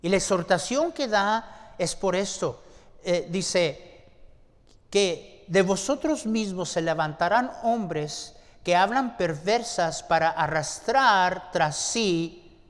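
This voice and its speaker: A man preaching a sermon to his congregation, deliberate and asking them rhetorical questions. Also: high voice, lively intonation. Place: church sanctuary. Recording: long church-hall reverb.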